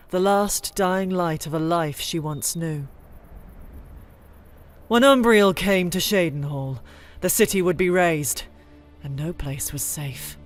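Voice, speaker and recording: A woman narrating fantasy lore as a scripted dramatic story, with solemn, theatrical and deliberate phrasing. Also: fairly low pitch. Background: music bed.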